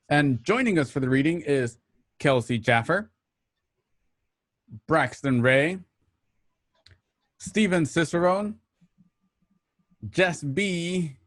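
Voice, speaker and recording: A man's voice: a little high, no room sound, clean studio sound. A man hosting a show, delivering a scripted introduction in a presenterly, announcing manner. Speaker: show host. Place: at home.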